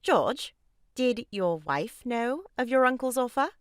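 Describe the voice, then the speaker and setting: Moralising respectable matron, reproving, emphatic, animated intonation, dry sound, period farce audio drama. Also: female voice, a little high, a little slow, dry recording. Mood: shocked, probing. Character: prim, moralising, disapproving respectable married lady.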